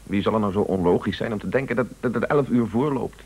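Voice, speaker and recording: A man acting a brisk, confident husband who runs a news agency in a Dutch-language radio play. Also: on the low side, a little mellow, slightly rough. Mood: dismissive, calm.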